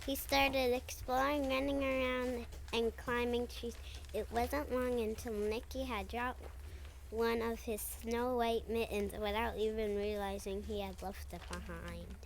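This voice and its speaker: A woman's voice: on the high side, little pitch movement, unhurried, creaky, slight background noise. A woman reading a children's story from a script as narrator.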